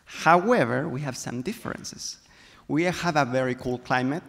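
A man's voice: mid-range voice, moderate pace, vocal fry, a bit bright. A man in his thirties with a Chilean Spanish accent, a native Spanish speaker, explaining carefully as a panelist on a stage with a handheld mic. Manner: explanatory, careful phrasing in second language. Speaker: panelist, viticulture specialist. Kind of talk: panel discussion. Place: stage in a large hall. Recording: long hall reverb.

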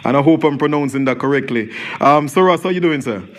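A man's voice: a little high, fast, heavy background noise. A man hosting a radio interview show, asking questions in a hesitant way with frequent restarts and "um"s.

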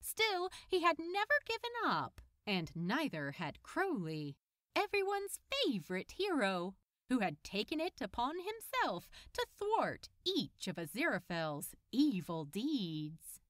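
A woman reading fan fiction aloud as narrator, in an expressive storytelling style. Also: on the high side, unhurried, no echo.

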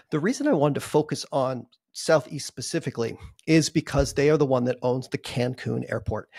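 Man, 30s, gravelly and husky, rambling casual analyst on a desk mic, dry sound. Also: mid-range voice, a little mellow, no room sound. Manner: rambling, many restarts and fillers. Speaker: investing analyst guest. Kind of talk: casual video call discussion. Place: small home office. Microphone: desk podcast mic on video call.

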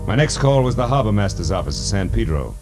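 A man's voice: fairly low pitch, sing-song, fast. A man playing a world-weary American private detective, narrating in terse, wry 1940s radio noir style. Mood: weary, driven. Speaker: narrator and lead. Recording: vintage 1940s radio recording with music.

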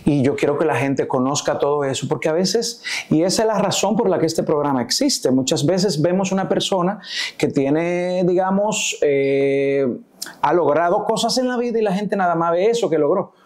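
Chatty talk show host. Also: male voice, a little high. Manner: warm, chatty, quick to react with "wow" and "ujú". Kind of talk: friendly interview chat. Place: indoor room. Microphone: lapel mic.

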